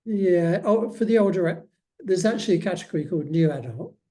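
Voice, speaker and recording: A man's voice: high-pitched, expressive, brisk, warm tone. A man speaking as a guest author in a casual interview, often hesitating and restarting his phrases.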